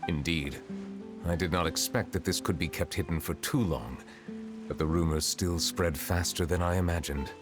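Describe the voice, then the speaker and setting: Brief: man voicing a reserved game judge, deep low voice, measured and formal. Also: music in the background, lightly acted. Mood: calm. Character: composed male chief justice, formal and reserved. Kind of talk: video game voice acting.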